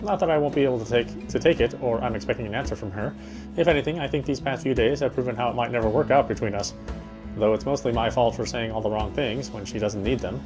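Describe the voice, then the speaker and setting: A man's voice: medium pitch, quick pace, close to an everyday voice. An actor playing a tender, self-deprecating young man in a visual novel, introspective and earnest, with guitar music behind him. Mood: hesitant, resigned. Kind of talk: first-person visual novel narration and dialogue.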